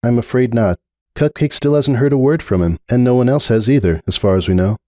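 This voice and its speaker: A man voicing a troubled young female pony who speaks earnestly and hesitantly, as if softening bad news, in a dramatic story reading. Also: medium pitch, a little fast, no echo, clean studio sound, voice pushed up, lightly acted. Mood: reluctant, regretful.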